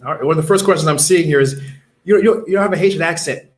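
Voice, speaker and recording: Man, host, rapid speech, somewhat high pitch, relaxed and casual. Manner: quick, easygoing, lots of short acknowledgments. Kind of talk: casual online interview.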